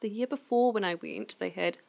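A woman with a croaky voice, co-hosting a casual book podcast and rambling with many fillers. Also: medium pitch, a little fast, a little mellow. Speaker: podcast co-host. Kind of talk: casual chat.

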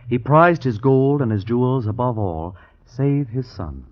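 A man's voice: medium pitch, expressive, a little slow, close to an everyday voice. A man playing a scheming, discontented young dreamer in an old-time radio drama, with stylized, theatrical, archaic speech. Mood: sly, declaiming. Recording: muffled old radio recording.

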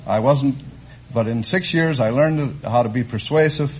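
A man's voice: medium pitch, normal speed, a little mellow. A man from Canada, a contestant on a quiz show, telling anecdotes conversationally. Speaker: dog show judge, contestant. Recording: dull old radio broadcast, faint noise.

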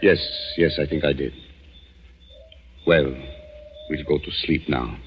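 An actor playing a secretive, controlling husband in a 1940s radio thriller, terse, guarded and coldly calm. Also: male voice, rumbling low, slow. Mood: cold, suspicious. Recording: muffled, hissy vintage radio recording with clicks.

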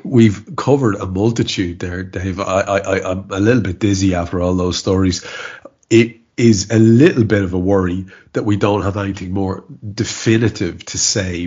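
A congested man with a cold co-hosts a football chat show in a low, husky voice. He talks hesitantly and stumbles over repeated words. The sound is dull, like a remote call. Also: a little mellow. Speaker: podcast co-host. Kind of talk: casual football podcast chat.

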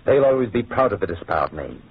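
A man's voice: on the low side, big pitch swings, fairly quick, slightly rough. A man playing an earnest, honourable French nobleman wronged and jailed, courteous, in old radio drama style. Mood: solemn confession. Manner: courteous, conscientious. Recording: muffled, tinny old radio recording.

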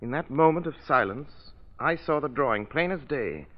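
Guy playing a smooth-minded gentleman detective in an old radio show, sure of himself, muffled vintage sound. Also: medium pitch, lively intonation, normal speed, natural voice. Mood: calm, certain. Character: shrewd, confident gentleman sleuth with a secret identity. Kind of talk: old-time radio drama acting.

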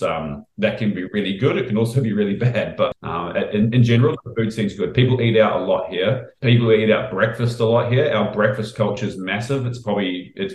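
Middle-aged Kiwi chef, casual and rambling, slightly low pitch, roomy echo on the mic. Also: male voice. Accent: New Zealand. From New Zealand. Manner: relaxed, rambling, frequent fillers and restarts. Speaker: chef guest. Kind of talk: casual interview chat.

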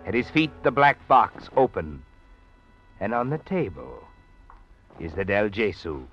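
An American actor playing a sardonic all-knowing storyteller, narrating a 1940s radio thriller in a pointed, suspenseful second person. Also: male voice, fairly low pitch, very animated, a little slow, fairly bright tone, exaggerated voice. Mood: knowing, ominous. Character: omniscient, sardonic male storyteller needling the protagonist. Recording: dull vintage radio with music bed. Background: dramatic music.

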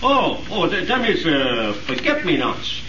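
Slangy mobster, lively swooping voice. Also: male voice, a little high, measured pace, background noise. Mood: wry. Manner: slangy, ungrammatical. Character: slangy, dim, sardonic male mobster. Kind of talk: 1930s radio acting. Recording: muffled 1930s radio, crowd murmur behind.

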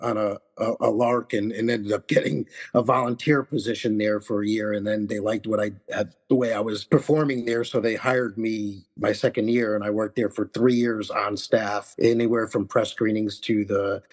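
A man's voice: on the low side, fairly even intonation, normal speed, hardly any pauses, slightly rough. A man in his forties from Connecticut with a New York accent, a podcast guest who rambles casually about his film work. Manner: rambling, many fillers and restarts.

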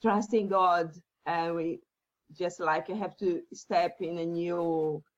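A woman whose first language is Portuguese, a guest talking earnestly about faith with halting phrasing and many fillers. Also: fairly low pitch, fairly even intonation, highs cut off. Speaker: podcast guest. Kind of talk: faith-based interview talk.

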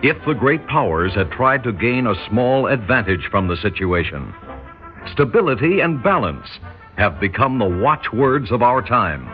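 A man's voice: medium pitch, sing-song, a little slow, soft background music. A man playing a grave, portentous narrator in a 1960s radio drama, with a solemn and rhetorical delivery. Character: grave, portentous male storyteller pondering war. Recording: dull old radio recording.

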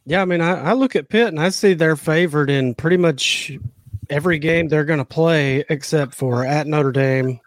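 A man's voice: a little high, moderate pace, no room sound, studio quality. A man co-hosting a sports betting podcast, laid-back and casually swearing, a bit under the weather. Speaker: podcast co-host.

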